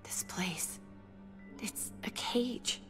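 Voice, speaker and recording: An actress playing a weary, anxious wife and survivor, speaking in a hushed, intimate way, in a cinematic video game. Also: female voice, very slow, over music. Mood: scared, pleading. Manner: hushed, often breathy near-whisper, intimate.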